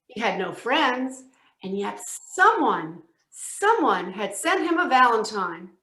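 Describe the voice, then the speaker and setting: A woman narrating a scripted storybook tale with deliberate, expressive emphasis. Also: on the high side. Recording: echoey room.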